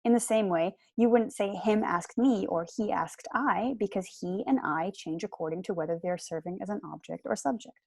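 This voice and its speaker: Young female lecturer, explanatory and articulate, medium pitch, dry home recording. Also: normal speed, vocal fry, hardly any pauses, darkish tone, dry recording. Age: young adult. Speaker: lecturer, PhD student. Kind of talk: prepared seminar lecture. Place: small room at home.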